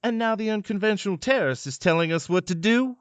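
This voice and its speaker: An actor playing a sanctimonious older parliamentarian in an audio drama, preachy and disapproving. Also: male voice, high-pitched, fast talker, no echo, lightly acted.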